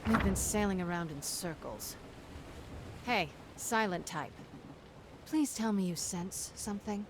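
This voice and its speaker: An actress playing a sharp-tongued, impatient female pirate captain in a video game, sarcastic and brash. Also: medium pitch, unhurried, close to an everyday voice. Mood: sardonic, needling. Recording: noisy ambient background.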